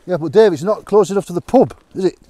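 A man's voice: sits high, fast talker, warm tone, no room sound. A middle-aged man, bantering and swearing casually on a woodland hike, filmed on a handheld camera outdoors. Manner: joking, swears freely. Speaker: vlogger. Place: outdoors in a riverside wood. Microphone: handheld action camera. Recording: background guitar music.

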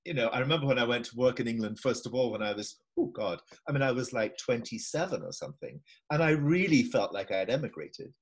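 A man's voice: mid-range voice, expressive, fast talker, few pauses. Older Scottish man musing on a video call. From Scotland. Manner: musing, repeats himself, laughs easily. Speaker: interviewer. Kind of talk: casual video-call interview. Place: home room indoors.

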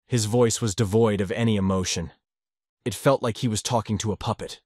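Brief: male podfic narrator, low voice, steady measured read, dry close sound. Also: dry recording. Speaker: audiobook narrator. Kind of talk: fanfiction audiobook narration.

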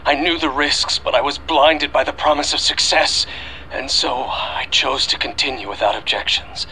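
A middle-aged actor playing a remorseful scientist father in an anime game, pleading gravely and deliberately. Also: mid-range voice, steady tone, sharp tone, slight background noise, rough recording. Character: desperate, remorseful scientist father recording a last message.

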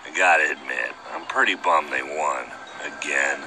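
A man's voice: rumbling low, moderate pace, pushed and bright, a little hiss or hum, heavily acted. An actor playing a gloomy, sulky young man in a video game, with a dry, melodramatically glum delivery. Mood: dejected. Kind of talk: animated game dialogue.

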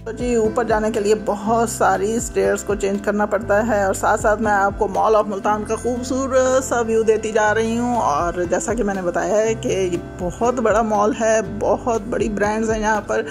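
A friendly woman narrates her vlog in a chatty voice-over at a mid pitch. She talks the viewer along as though showing them around. Music plays clearly under her voice. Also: fairly even intonation, moderate pace, few pauses. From Pakistan. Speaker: vlogger. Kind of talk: voice-over mall tour narration. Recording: voice-over with loud background music.

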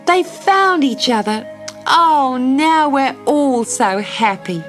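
A woman's voice: sits high, animated, takes it slowly, soft background music, pitched above the performer's own voice. A woman voicing an earnest little girl in a storybook. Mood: overjoyed.

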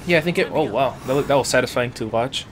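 A man's voice: mid-range voice, quick pace, music in the background. A man doing casual, joking gameplay commentary with plenty of slang and swearing, as a streamer. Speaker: gaming streamer.

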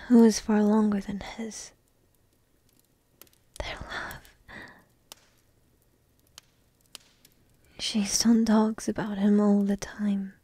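A woman playing a young dragon princess in an ASMR roleplay, coy, teasing and lovestruck, half-whispering in a soft, intimate, breathy way. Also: mid-range voice, no room sound. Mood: earnest, hopeful.

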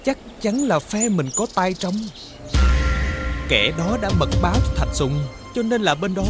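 An actor playing an obsequious, measured adviser in a fairy-tale costume film. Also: male voice, high-pitched. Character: deferential, scheming male courtier adviser.

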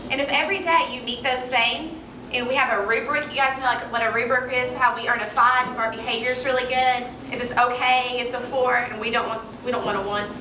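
A young woman teacher with a fairly high voice addresses a school assembly, upbeat and full of questions for the kids. Her voice carries through a big echoey gym, picked up from a distance. Background noise runs underneath. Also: fairly even intonation, brisk, hardly any pauses. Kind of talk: school assembly talk to children. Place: large school gym in front of students. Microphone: handheld mic, recorded by far camera. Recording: echoey gym, from far off, noisy.

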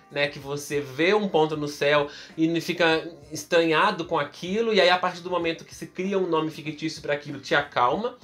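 High-voiced Brazilian man teaching philosophy. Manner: expressive, explains step by step with examples. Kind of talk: explanatory lecture to camera. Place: indoor room in front of bookshelves. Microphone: camera close up, facing the lens.

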